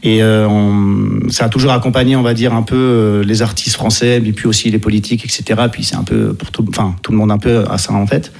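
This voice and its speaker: Low-voiced man in his thirties, chatting. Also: little pitch movement, fairly quick, vocal fry, few pauses, darkish tone. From Italy, born to French parents. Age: 30s. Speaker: interview guest, actor and stage director. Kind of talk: casual conversational interview.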